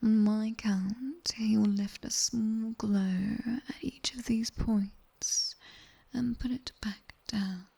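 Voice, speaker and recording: A seductive British demoness tutor, played by a woman, whispers very slowly into a close binaural mic. Her breathy voice has a faint crackle of fry and a soft, dark edge. She instructs in a commanding, teasing ASMR style, like a sharp-tongued teacher of nightmares. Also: a little high, steady tone, exaggerated voice. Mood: soothing, instructing. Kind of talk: whispered ASMR roleplay, guided body scan. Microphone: close binaural microphone.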